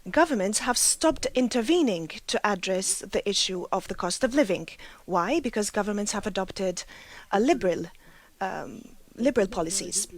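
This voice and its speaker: A woman's voice: mid-range voice, lively intonation, moderate pace. A woman from Malta, giving an argumentative statement at a formal meeting, often restarting her sentences. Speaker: meeting delegate.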